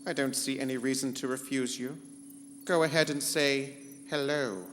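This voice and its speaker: A man's voice: mid-range voice, steady tone, normal speed, fairly bright tone, slight background noise, natural voice. A man playing a haughty, theatrical and petulant rogue ship computer in a pulp sci-fi comedy radio play. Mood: smug. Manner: grandiose, pompous. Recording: live convention hall stage, reverberant, music behind.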